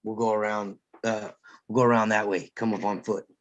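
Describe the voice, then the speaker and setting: A man playing a grim, calm lawman gunfighter at a live table read, terse and quiet. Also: fairly low pitch, fairly quick, lo-fi sound, close to an everyday voice. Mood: grave, decisive.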